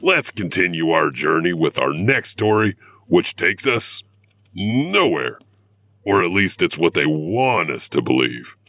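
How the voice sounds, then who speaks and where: A man's voice: low voice, sing-song, measured pace, bright, forceful tone, no room sound, full character voice. A man playing a wryly morbid horror storyteller, narrating urban legends with theatrical, sardonic asides. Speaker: narrator and host of a horror anthology.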